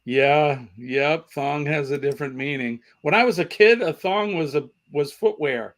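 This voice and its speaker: A man with a somewhat high voice hosts a live trivia stream. He talks casually and conversationally, reading questions out and rephrasing them for the people watching. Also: a little slow, fairly bright tone. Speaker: trivia livestream host. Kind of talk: casual livestream chat and quiz hosting.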